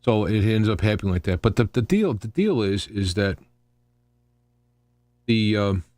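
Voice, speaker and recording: A man hosting a live talk radio show with an opinionated, unscripted political monologue, halting and restarting phrases and posing rhetorical questions. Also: on the low side, big pitch swings, a little mellow, no echo. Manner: halting and rambling, often repeating and restarting phrases. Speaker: radio host.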